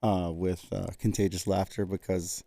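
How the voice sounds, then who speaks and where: A man's voice: sits low, flat delivery, normal speed, gravelly, mellow, dry recording, studio quality. An easygoing man co-hosting a show in casual chat, who laughs often and explains things. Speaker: podcast co-host.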